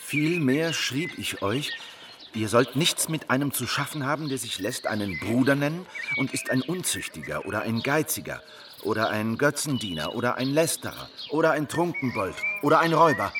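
An actor playing an earnest, devoted young disciple of a preacher in a historical radio drama, respectful yet zealous. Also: male voice, medium pitch, sing-song, normal speed, noisy background, close to an everyday voice. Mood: solemn reading. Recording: distant microphone, music underneath.

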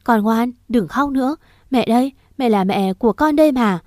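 A woman voicing a desperate young mother who pleads through tears and shouts in fury. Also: a little high, normal speed, no echo, studio quality, lightly acted. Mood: tearful pleading. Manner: sobbing pleas turning to shouted fury.

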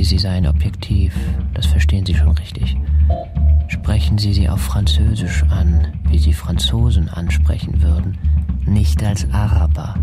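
An actor playing an insinuating, cynical German man in a radio drama, talking in a hushed, confiding and politely amused way. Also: low voice, close to an everyday voice. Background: music.

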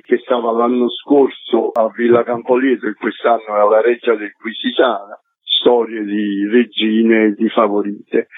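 A man's voice: medium pitch, no echo. A man being interviewed on the radio as a festival's artistic coordinator, explaining in eloquent, rhetorical phrases full of lists. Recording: narrow phone-like line on radio.

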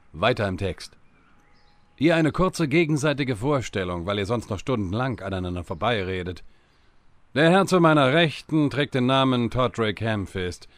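An actor playing a bumbling, impatient time-travelling wizard who stammers and rambles in a comedic audio drama. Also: male voice, medium pitch, a bit bright, dry recording, close to an everyday voice. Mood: brisk, mocking.

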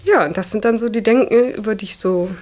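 A woman's voice: medium pitch, fast talker, a little mellow. A woman in her twenties talking casually and reflectively about herself, often tagging phrases with a questioning "ja". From Germany. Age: 20s. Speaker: interviewee. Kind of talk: reflective casual personal talk.

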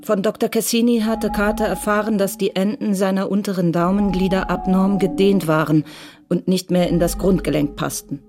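A woman reading scripted literary narration for a radio crime drama in a measured, matter-of-fact way. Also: medium pitch, fairly even intonation, over music.

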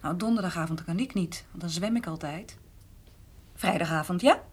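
An actress playing a forthright, affectionate and insistent woman in a naturalistic radio drama, with a husky voice. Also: lowish voice, normal speed.

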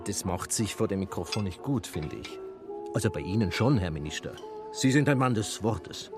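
A throaty, fairly low-voiced Tyrolean mountaineer and film star, played by a male actor in a radio drama. He is self-dramatizing and anguished, speaking with his regional accent over clear background music. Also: animated, normal speed, lightly acted. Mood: self-promoting, evasive. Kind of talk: German radio drama acting.

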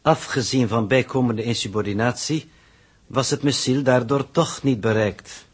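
An actor as a pedantic male coordinator aboard a spaceship, with a mid-pitched, husky voice. He is cold, formal and exacting, in the manner of an old 1960s radio serial. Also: fairly even intonation, moderate pace, close to an everyday voice. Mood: cold reproof. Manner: formal, precise, clipped officialese. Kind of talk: 1960s radio drama acting.

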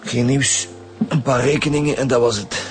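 An actor playing a gruff, exasperated middle-aged homicide squad leader, blunt and colloquial, with a Flemish accent in a radio drama. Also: male voice, mid-range voice, steady tone, normal speed, natural voice. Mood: grumbling. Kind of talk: naturalistic radio drama dialogue. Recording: radio drama with music bed.

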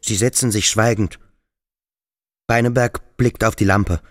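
A man giving scripted radio play narration in terse fragments with pauses between them. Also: on the low side, steady tone, no echo, clean studio sound. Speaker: second narrator.

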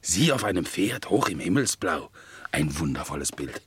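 Romantic bulldog: very low husky voice, lyrical, anxious, theatrical 1960s radio drama. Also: male voice, sing-song, moderate pace, fairly bright tone, dry recording, full character voice. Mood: rapturous. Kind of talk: 1960s German radio play acting.

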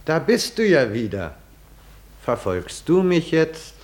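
An actor playing a short-sighted, mocking tavern philosopher in a 1950s German radio drama, with sardonic, deliberate pronouncements. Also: male voice, a little high, very animated, heavily acted. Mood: teasing. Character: short-sighted, mocking male tavern drinker who philosophizes about kings.

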